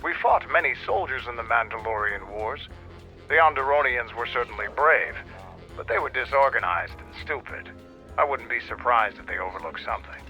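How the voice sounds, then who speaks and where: Hard-edged war veteran companion, blunt, clipped, very bright tone, radio-style filter. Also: male voice, mid-range voice, animated, moderate pace, faint music behind, rough recording. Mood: blunt, appraising. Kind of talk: video game character dialogue.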